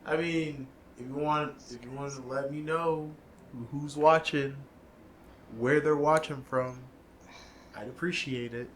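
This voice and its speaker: Young male co-host, slow and halting, relaxed casual talk. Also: on the high side, a little hiss or hum. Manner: halting and hesitant, many fillers and restarts. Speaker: podcast co-host and video editor. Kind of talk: casual chat.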